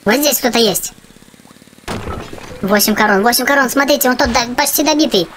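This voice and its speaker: A woman hosting a gaming channel for children, giving chatty, excitable running commentary on her play. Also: on the high side, normal speed, slight background noise. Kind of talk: casual game commentary for children. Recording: game music behind the voice. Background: video game music.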